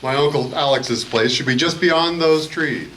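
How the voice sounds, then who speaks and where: A man acting in a live radio play as a calm, polite, authoritative adventure hero. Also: a little high, moderate pace, slight background noise, low-quality recording. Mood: purposeful.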